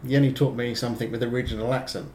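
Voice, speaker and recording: A man's voice: mid-range voice, steady tone, quick pace, warm tone, a little hiss or hum. A man co-hosting a casual podcast chat, telling stories in a rambling, self-deprecating way with many fillers. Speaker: podcast co-host.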